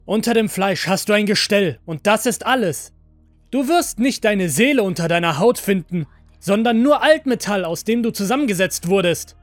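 A man playing an agitated, frustrated master of androids in an audio story, forceful and pleading. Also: high-pitched, animated, normal speed, dry recording, faint music behind, voice pushed up.

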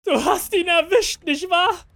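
A man's voice: extremely high pitch, a little slow, gravelly, a bit bright, no echo, voice pushed up, heavily acted. A man voicing a wary, frightened young boy who speaks in an anxious, earnest way. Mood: alarmed, tearful.

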